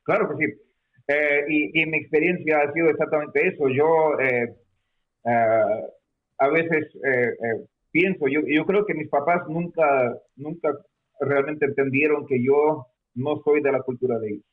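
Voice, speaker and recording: A man's voice: a little high, fairly even intonation, unhurried. A man born in Peru, a guest explaining his experience in a hesitant way, with many fillers and restarts. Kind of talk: conversational explanation. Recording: phone-like narrow sound.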